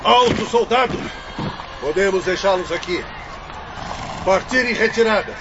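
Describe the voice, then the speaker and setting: An actor playing a curt, commanding soldier in a radio drama. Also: male voice, high-pitched, unhurried. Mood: stern. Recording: dull lo-fi with noise.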